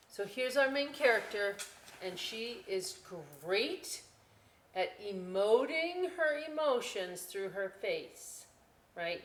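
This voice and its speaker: An echoey room carries a woman's medium-pitched voice as she reads to children. Her delivery is informal and unhurried, with chatty asides and expressive emphasis. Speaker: library storytime reader. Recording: roomy indoor echo.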